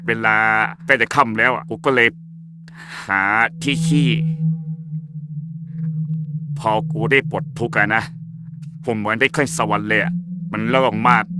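A man's voice: fairly low pitch, lightly acted. A man voicing a crude, bragging schoolboy in a cartoon, telling a tale in blunt, casual slang. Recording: loud music over the voice. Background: loud music.

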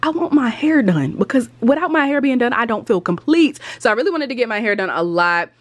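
A woman in her twenties chatting casually to her camera at home, with an animated, emphatic, slangy way of talking. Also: fairly high pitch, fairly quick. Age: 20s. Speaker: lifestyle vlogger. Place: indoors at home, kitchen and bedroom.

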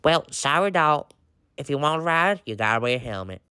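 A woman's voice: low voice, expressive, brisk, bright, forceful tone, smooth, dry recording, studio quality. A woman voicing a chatty, friendly male horse farmer with a Southern accent in a pretend-play story, folksy and effusive.

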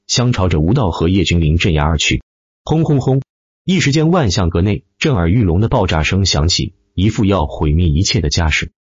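Male audiobook narrator, low and husky, theatrical scripted delivery, close dry sound. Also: a little mellow, no echo, muffled recording. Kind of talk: dramatic scripted web-novel narration.

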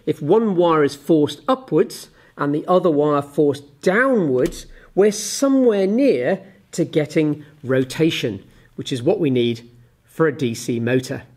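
A man in his forties giving an explanatory physics lesson with a live demonstration, methodical and step by step, as a teacher does. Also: fairly high pitch. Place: indoors, small office at a desk.

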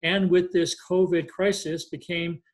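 A man in his sixties, a city mayor, hosting an interview over a video call and prompting his guest briskly. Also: high voice, little pitch movement. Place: home office with bookshelves. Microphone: webcam on video call.

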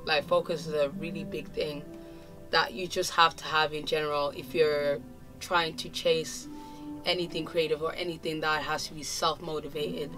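A young woman from Zimbabwe, a musician, giving relaxed, thoughtful interview answers in a casual way, recorded indoors in a studio. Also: deep voice, normal speed, over music. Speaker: musician guest. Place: indoor studio with clothing racks.

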